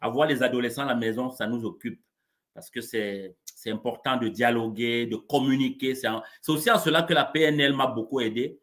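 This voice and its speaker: A man, a coach being interviewed live over a video call, speaking in a warm, measured way with frequent restarts. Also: medium pitch. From Cameroon. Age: 40s. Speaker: coach and guest.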